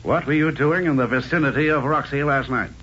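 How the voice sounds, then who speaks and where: A man's voice: medium pitch, a little fast, sharp tone, lightly acted. An American man playing a pompous, hostile small-town mayor in a 1940s radio drama, blustering and accusing insistently. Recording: dull, noisy old radio recording.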